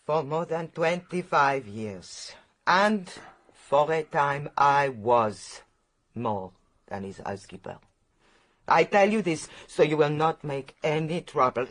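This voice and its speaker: Imperious middle-aged housekeeper, swooping delivery. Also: female voice, low voice, heavily acted. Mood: grave confession. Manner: haughty, impatient, emotional. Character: proud, imperious, anguished, secretive middle-aged French housekeeper. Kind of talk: radio detective drama acting.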